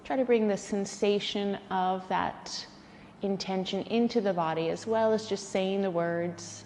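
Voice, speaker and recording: Woman, yoga nidra instructor, soothing guided meditation, unhurried and slow, long echoing reverb. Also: medium pitch, fairly even intonation. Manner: soothing, unhurried, gentle guiding with pauses. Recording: long reverberant hall, faint background noise.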